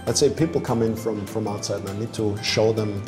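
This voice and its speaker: A man from Austria, a coach, answering interview questions in a relaxed, joking way with frequent restarts. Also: on the low side, fairly quick. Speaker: basketball coach. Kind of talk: casual interview. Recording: echoey room with music behind.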